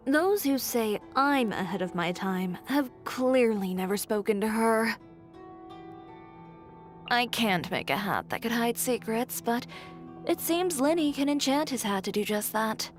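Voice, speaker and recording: An actress voicing a blunt, self-assured young fashion designer in a video game, speaking in a cool, matter-of-fact, dryly exasperated way. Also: female voice, on the high side, expressive, unhurried. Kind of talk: scripted character voice lines. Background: background music.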